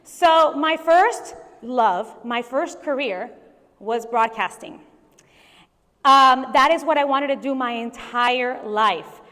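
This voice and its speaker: A woman from Venezuela giving a conference keynote speech in a lively, animated way. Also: high-pitched, slow. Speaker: keynote speaker. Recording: long hall reverb.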